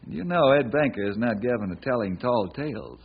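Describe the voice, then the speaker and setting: Earnest western ranger, husky, old radio. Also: male voice, mid-range voice, expressive, brisk. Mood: earnest, calm. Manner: earnest, folksy, persuasive. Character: steady, kindly male western ranger trying to help. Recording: dull, lo-fi old radio recording.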